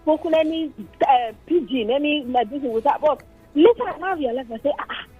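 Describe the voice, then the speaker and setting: Brief: Nigerian woman radio caller, high voice, storytelling, dull lo-fi line. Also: a little fast, faint music behind. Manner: chatty, storytelling, exclaims. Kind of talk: casual phone-in chat.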